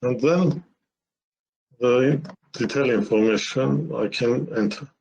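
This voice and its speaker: Slow-paced man demonstrating software. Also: mid-range voice, gravelly, poor audio. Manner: halting, step-by-step explaining in short phrases. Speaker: software presenter.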